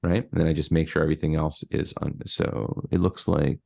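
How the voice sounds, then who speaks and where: A man's voice: a bass voice, little pitch movement, fairly quick, gravelly, darkish tone. A man in his forties coaching a client step by step over a video call, explaining with many fillers. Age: 40s. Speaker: marketing coach. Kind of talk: screen-share coaching session.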